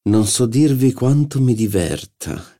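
A man's voice: mid-range voice, lively intonation, unhurried, warm tone, voice pushed up. A man voicing an extravagant, whimsical male painter who talks in a languid, theatrical way. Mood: rapturous.

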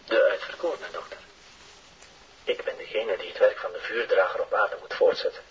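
An actor with a Flemish accent playing a fanatical, solemn young Satanist on a cassette recording in an audio drama, breathy and partly whispering. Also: male voice, on the low side, moderate pace, fairly bright tone. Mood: grandiose. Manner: solemn, grandiose, obsessive. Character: fanatical young male Satanist convinced he is chosen. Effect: played back from a cassette recorder. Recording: muffled, noisy cassette tape playback.

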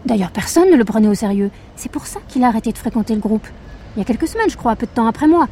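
An actress playing a haughty, talkative witness, prim, condescending and indignant, in a naturalistic fiction podcast. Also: female voice, a little high, expressive, racing, dark tone, slight background noise, lightly acted. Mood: prim, dismissive. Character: haughty, fussy, talkative female police witness.

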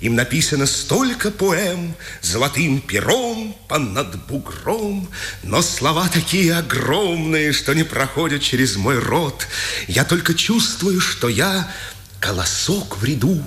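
An actor playing an impassioned, poetic imprisoned resistance fighter in a declamatory, lyrical 1950s radio drama style. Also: male voice, on the high side, big pitch swings, slow pace, a bit bright, heavily acted. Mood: rapturous. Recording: old radio recording with background noise.

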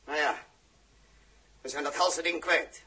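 A man's voice: fairly high pitch, steady tone, slow, pushed and bright, slightly rough, full character voice. A man acting a fiery, blunt spaceship captain with a Dutch accent and emphatic delivery in a 1960s radio drama. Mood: angry, bitter. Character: fiery male spaceship captain, blunt, indignant, hard-driven. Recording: muffled-edged vintage 1960s radio recording.

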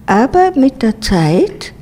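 A woman's voice: fairly high pitch, big pitch swings, slow pace, warm tone, slight background noise. A woman in her eighties from Turkey, answering interview questions and sometimes searching for words. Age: 80s. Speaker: interviewee, home resident.

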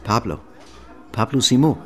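Man acting placating architect, lively pitch swings. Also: normal speed, soft background music, close to an everyday voice. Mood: casual, friendly. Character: hesitant, placating middle-aged male architect.

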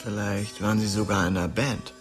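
A man's voice: lowish voice, flat delivery, brisk, soft background music, lightly acted. An actor playing a young streetwise man who recounts his small-town youth colloquially in a radio drama. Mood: wry storytelling. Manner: colloquial, storytelling. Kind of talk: radio drama first-person narration.

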